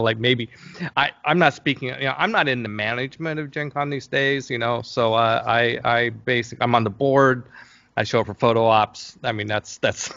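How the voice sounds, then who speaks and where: A middle-aged man with a gravelly, fry-edged voice gives a casual interview. He talks hesitantly and wanders as he thinks aloud. The sound is roomy, like an indoor online call. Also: medium pitch, fairly bright tone, dull audio. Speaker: interview guest, game company founder.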